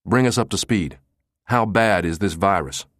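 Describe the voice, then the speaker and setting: A man's voice: sits low, expressive, moderate pace, a bit bright, no room sound, clean studio sound. A man voicing a collected, stern intelligence director in an audiobook, speaking evenly and with controlled authority. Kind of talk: audiobook character dialogue.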